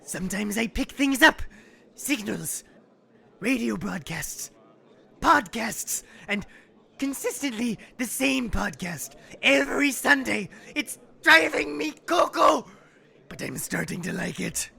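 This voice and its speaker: Man playing a raspy, high-pitched eccentric showman. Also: expressive, slow, sharp tone, full character voice. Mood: excited, rambling. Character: excitable boastful eccentric male teacup athlete, slightly unhinged. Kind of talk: comedic audio drama acting.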